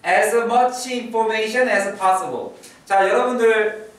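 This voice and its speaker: A male English teacher giving an exam prep lecture, repeating key phrases slowly and drilling his students. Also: high voice, fairly even intonation, very echoey, distant mic, poor audio.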